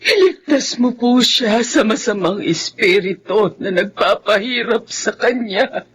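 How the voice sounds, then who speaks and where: A woman playing an anguished, devout grandmother in a horror radio drama, pleading in a tremulous, drawn-out way. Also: medium pitch, expressive, husky. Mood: tearful, praying.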